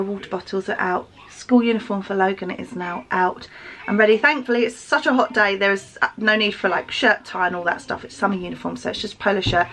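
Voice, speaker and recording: A woman's voice: medium pitch, a little hiss or hum, low-quality recording. An English woman in her thirties talking casually as a mother on a family vlog. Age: 30s.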